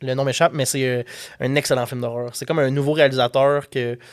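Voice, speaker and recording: A man's voice: mid-range voice, fairly even intonation, fast, clean tone. A young man with a Québécois French accent, co-hosting a casual comedy podcast, joking and laughing often. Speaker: podcast co-host.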